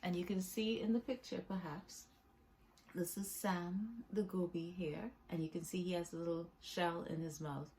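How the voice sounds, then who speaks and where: A woman's voice: on the low side, fairly even intonation, moderate pace, a little mellow, slight background noise. A woman reading her own children's book aloud as a storyteller, with short asides to the listener.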